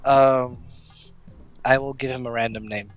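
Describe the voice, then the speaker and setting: A man chatting casually as a tabletop game player, flustered and halting. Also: mid-range voice, fairly even intonation, muffled recording, faint music behind. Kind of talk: casual chat. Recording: faint noise, clicks and typing.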